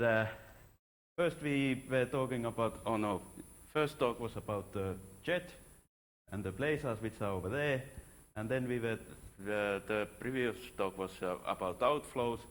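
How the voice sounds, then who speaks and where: Man, fairly deep voice, informal academic presentation, hesitant phrasing, frequent self-corrections. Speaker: astronomer giving a conference talk. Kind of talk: informal scientific conference presentation.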